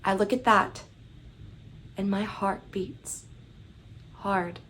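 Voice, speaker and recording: Woman playing an earnest, slow-speaking devout teenage girl. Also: mid-range voice, fairly even intonation, fairly bright tone, slight background noise. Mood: reverent, tender. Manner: halting, intense, reverent. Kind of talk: theatrical dramatic monologue.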